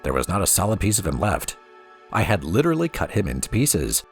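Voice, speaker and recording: A man reading a fantasy book aloud as expressive storybook narration over music. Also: low-pitched, fairly quick. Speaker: let's play host reading in-game books aloud.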